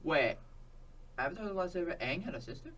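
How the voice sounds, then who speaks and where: A young man chats casually as a podcast co-host. His voice sits fairly high and jumps around in a very animated way. He mostly reacts and chips in. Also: fast. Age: young adult. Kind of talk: casual chat.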